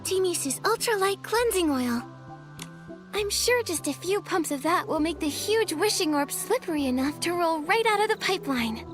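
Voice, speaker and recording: A woman's voice: extremely high pitch, expressive, moderate pace, faint music behind. A woman voicing a kind, earnest teenage girl heroine with an encouraging manner in a video game cutscene. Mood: eager, resolved.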